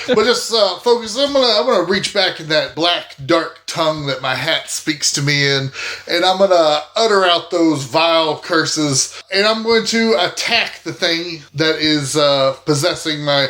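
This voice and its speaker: A man's voice: fairly high pitch, unhurried, pushed and bright. A man playing a tabletop roleplaying game, casually and playfully describing what his character does.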